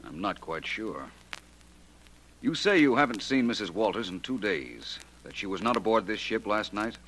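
Cool, authoritative gentleman sleuth, very low bright voice, vintage radio detective serial. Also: very animated, full character voice. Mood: probing, cool. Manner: polished, measured, probing questioning. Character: cool, courteous, authoritative male private detective. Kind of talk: 1940s radio detective acting. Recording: noisy vintage 1940s radio recording.

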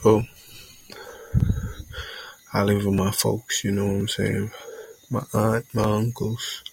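A man's voice: lowish voice, flat delivery, takes it slowly, gravelly, husky, dry recording, rough recording. A young man from Jamaica hosting a casual solo podcast intro in a laid-back, rambling way, often saying "you know what I'm saying". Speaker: podcast host.

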